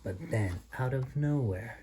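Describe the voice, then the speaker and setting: A man reads a picture book aloud like a dad at story time. He goes slowly, stretching key words and moving his pitch around a lot. Some noise sits behind the voice. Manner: expressive, drawn-out emphasis. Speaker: father reading stories to a child.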